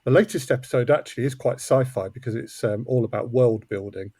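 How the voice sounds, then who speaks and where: A man's voice: mid-range voice, a little fast, warm tone, breathy edge, no room sound. Man chatting, very dry close sound. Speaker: guest, audio drama co-creator. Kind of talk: casual interview chat.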